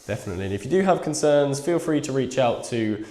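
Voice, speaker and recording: Croaky man hosting a live draw. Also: mid-range voice, animated, quick pace, warm tone, reverberant. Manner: chatty, reads numbers aloud. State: croaky and bunged up. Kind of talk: casual live-stream chat.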